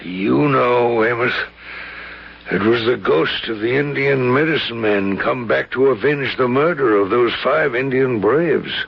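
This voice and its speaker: A grave, portentous in an old radio mystery. His voice is very husky and gravelly, and he speaks slowly and solemnly. The recording is dull and lo-fi. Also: mid-range voice, heavily acted. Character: grave man solemnly explaining a ghostly curse. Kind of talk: old radio drama acting.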